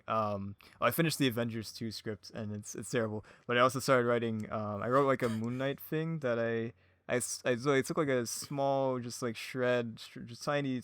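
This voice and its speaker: A man's voice: medium pitch, a bit bright, clean tone, no echo. A man chatting casually as a podcast guest, rambling, giggly and self-deprecating. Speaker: podcast guest, audio drama creator. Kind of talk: casual chat.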